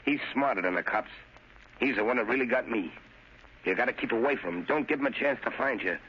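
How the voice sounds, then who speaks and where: A man's voice: mid-range voice, expressive, fast talker, slightly rough. A man playing a bitter, menacing condemned gangster with tough, slangy street talk in a vintage radio drama. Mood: low, commanding. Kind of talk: 1940s radio acting. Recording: muffled old radio recording.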